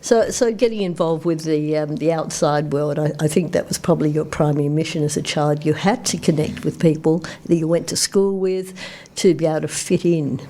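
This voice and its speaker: A middle-aged woman hosts a radio interview, and her voice is very low and mellow. She asks questions in an encouraging way and affirms often as her guest talks. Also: steady tone, fairly quick, hardly any pauses. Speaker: radio host. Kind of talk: interview conversation. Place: radio studio.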